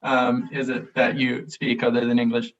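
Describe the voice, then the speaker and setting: A man's voice: mid-range voice, completely flat delivery, moderate pace, distant mic, lo-fi sound. A man moderating a panel on a video call, giving friendly brief prompts and thanks.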